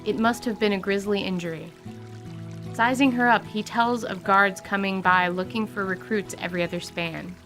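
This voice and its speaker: A woman narrating a scripted tabletop radio drama in an even, descriptive way, over music. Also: mid-range voice, moderate pace. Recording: clear music bed under voice.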